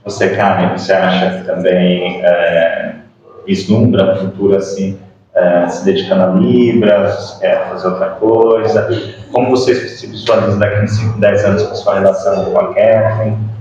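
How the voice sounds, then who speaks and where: Distant, echoey Brazilian man, rather low voice. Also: little pitch movement, moderate pace, darkish tone. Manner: hesitant, repeats and rephrases questions. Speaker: podcast host. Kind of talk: conversational interviewing. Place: echoey room, far from the microphone. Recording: very echoey, distant, muffled, faint noise.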